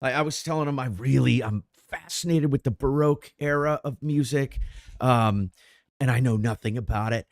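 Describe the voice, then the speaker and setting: A man's voice: medium pitch, normal speed, no echo, studio quality. A middle-aged man co-hosting a podcast, chatting casually in a rambling way with many restarts. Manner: rambling, animated, frequent restarts. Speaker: podcast co-host. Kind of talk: casual chat.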